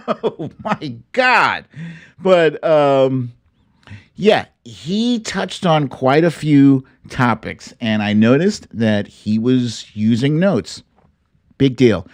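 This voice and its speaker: A man's voice: mid-range voice, very animated, extremely slow. A man hosting a live political commentary stream, speaking casually and bluntly with salty slang and theatrical, opinionated delivery. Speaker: host of a political commentary livestream.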